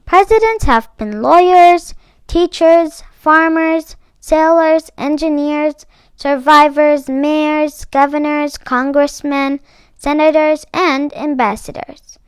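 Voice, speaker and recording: A woman reading a children's picture book aloud as a storyteller, phrasing deliberately and stressing key words. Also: sits high, no echo. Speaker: read-aloud book club host.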